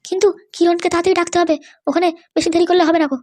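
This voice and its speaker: Comedy cartoon schemer: woman playing a young woman, extremely high voice, flat intonation, fretful chatter. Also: racing. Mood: scheming. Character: scheming young woman plotting around her secret boyfriend. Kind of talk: amateur comedy cartoon dialogue.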